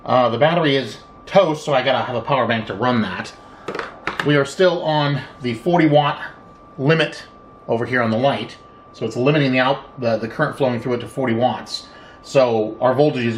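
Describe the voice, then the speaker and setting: Middle-aged man in a roomy, noisy workshop. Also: mid-range voice. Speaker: electronics repair hobbyist, host. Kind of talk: casual hands-on demonstration, thinking aloud. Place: small indoor home workshop at a bench. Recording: roomy, distant mic with background noise.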